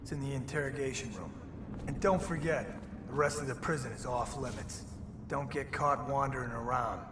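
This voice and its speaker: An officious prison guard, acted by a man in a video game, handing out brisk orders. The voice is mid-pitched and sounds far off in an echoing, noisy space. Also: close to an everyday voice. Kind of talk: video game character dialogue.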